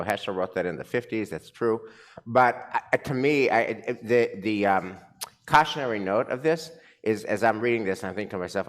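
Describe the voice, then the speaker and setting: A man leads a study discussion in a lowish voice, pausing and searching for his words as he reflects. A long reverb hangs on him, as in a large hall. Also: fairly bright tone. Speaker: class leader. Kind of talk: leading a study class.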